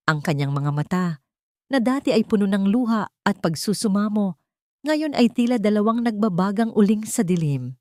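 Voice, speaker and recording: A woman's voice: medium pitch, dark tone, no room sound, clean studio sound. A woman narrating a dramatic audiobook in a tense, dramatic reading style.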